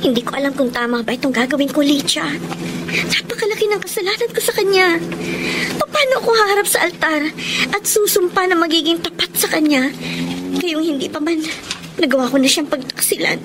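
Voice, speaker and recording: A woman's voice: extremely high pitch, fairly quick, noisy background. A woman acting a guilt-ridden, pleading young bride in a Tagalog radio drama, delivered with emotional melodrama. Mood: troubled. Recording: music bed behind the voice.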